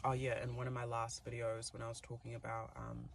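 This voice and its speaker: A man's voice: mid-range voice, monotone, a little fast, gravelly, background noise. A man with a New Zealand accent, giving a chatty, giggly tarot reading with plenty of fillers. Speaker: tarot reader. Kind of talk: casual tarot reading to camera.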